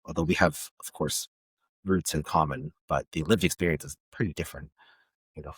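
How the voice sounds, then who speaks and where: A man from Palestine, a guest on a podcast, speaking thoughtfully and pausing often to search for words. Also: extremely low pitch, fairly even intonation, a bit bright, slightly rough, dry recording. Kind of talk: reflective conversation.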